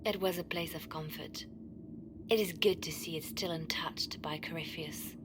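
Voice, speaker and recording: An actress playing a poised, devout and steely spymaster in a video game cutscene, speaking in a controlled, deliberate way. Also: female voice, deep voice, steady tone, background noise, lightly acted. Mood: wistful. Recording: music behind the voice.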